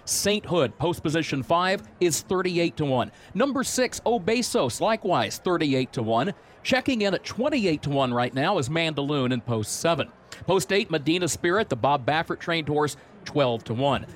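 A man commentating on a horse race broadcast, reading out odds and storylines in a steady informative way. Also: a little high, normal speed, slight background noise. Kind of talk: live sports broadcast commentary.

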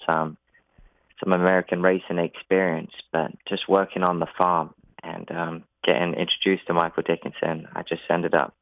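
A young man in his twenties with an English accent speaks casually over a narrow, muffled phone line. His voice is very low and sits close and dry. He answers modestly, feeling for his words as he goes. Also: dry recording. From England. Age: 20s. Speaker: jockey, interview guest. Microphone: telephone.

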